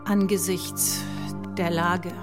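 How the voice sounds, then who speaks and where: An actress playing a gravely ill, defiant mother and scholar in a theatrical radio drama, speaking with deliberate intensity. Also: on the low side, level tone. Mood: grave, resolute. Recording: loud music behind the voice. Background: prominent music.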